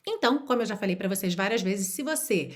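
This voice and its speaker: A woman from Rio de Janeiro, teaching an online video lesson and talking straight to the viewer. She slows right down to model example phrases and repeat them. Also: medium pitch, lively intonation. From Rio de Janeiro, Brazil. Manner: encouraging, speaks straight to the viewer. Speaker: language teacher.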